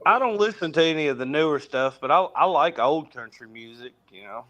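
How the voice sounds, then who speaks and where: A man's voice: fairly high pitch, expressive, brisk, a bit bright. A man in his thirties chatting casually and teasing the others with jokes. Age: 30s. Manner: teasing, joking. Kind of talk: casual chat.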